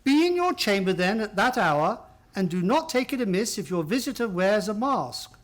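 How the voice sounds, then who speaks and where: Radio drama loyal gentleman sidekick: high male voice, very expressive, inquisitive, mildly hesitant. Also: normal speed. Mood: intrigued. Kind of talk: radio play acting.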